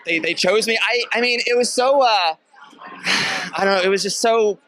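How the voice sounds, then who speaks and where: Young man from Massachusetts, actor, relaxed and halting, bright tone. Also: high voice, a little hiss or hum. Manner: laid-back, hesitant, filler-heavy. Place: film festival red carpet, indoors. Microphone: handheld interview mic.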